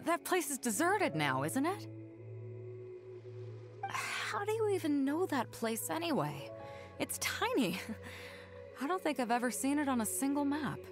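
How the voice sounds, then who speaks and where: A bold teasing lady sea captain for a video game, highish voice that swings around, music under it. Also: a little slow, long pauses, exaggerated voice. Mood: amused, doubtful. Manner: breezy, teasing, expressive. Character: confident, playful, hearty female ship captain. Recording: music behind the voice.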